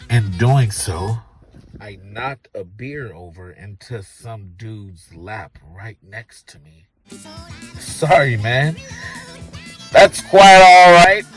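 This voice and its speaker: Slow, low-voiced male audiobook narrator. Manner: conversational, profane, storytelling delivery. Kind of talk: first-person dramatic audiobook narration.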